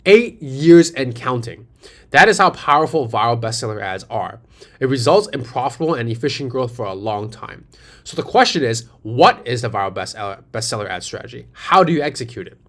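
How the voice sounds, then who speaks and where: A man's voice: medium pitch, expressive, fairly quick, fairly bright tone. A man with a New York accent, an agency founder giving a persuasive sales presentation over slides, asking rhetorical questions and repeating his key phrases. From New York City.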